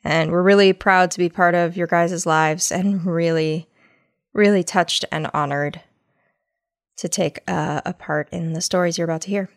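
A woman co-hosts a podcast and introduces listener stories. She speaks sincerely, swears casually, and sits a little low in pitch. Her voice is smooth and dry, recorded close. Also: steady tone, normal speed, no room sound. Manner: warm, sincere, casually profane. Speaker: podcast co-host.

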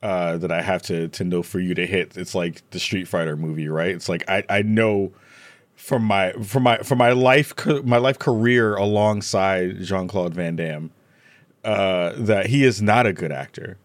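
A man talking casually as a podcast guest, rambling and joking in an animated way. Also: sits low, moderate pace, no room sound. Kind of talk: casual panel discussion.

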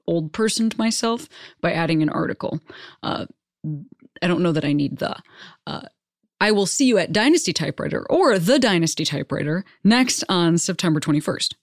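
Low-voiced woman podcast host, dry room. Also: no echo. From Chicago suburbs, USA. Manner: self-deprecating, chatty, joking asides. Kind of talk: casual chat.

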